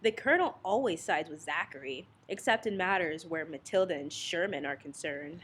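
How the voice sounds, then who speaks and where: A woman's voice: lowish voice, animated, moderate pace, a bit bright, breathy edge. A woman playing a syrupy, scheming belle with honeyed theatrical delivery in a satirical radio play. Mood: sly, theatrical. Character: scheming, syrupy Southern belle in a melodrama parody.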